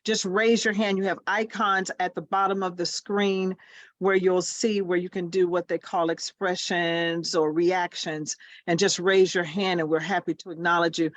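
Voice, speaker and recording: A woman's voice: fairly low pitch, little pitch movement, moderate pace, dry recording. A woman hosting a video call, encouraging her guests with exclamations. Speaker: host.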